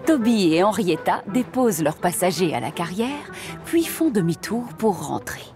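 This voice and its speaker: A woman's voice: medium pitch, big pitch swings, moderate pace, music in the background. A woman giving scripted narration for a children's story.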